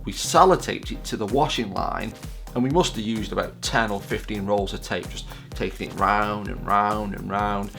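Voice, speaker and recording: A man's voice: fairly low pitch, moderate pace, creaky, a bit bright, soft background music. A British man in his forties chatting casually in a rambling, self-deprecating way, like a vlog host reminiscing.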